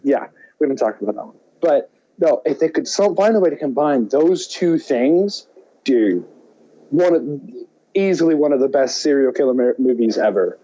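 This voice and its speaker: Male co-host, soft dark tone, excitable rambling chat, muffled audio. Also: mid-range voice, normal speed. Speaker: podcast co-host. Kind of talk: casual movie chat. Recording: dull, muffled lo-fi sound.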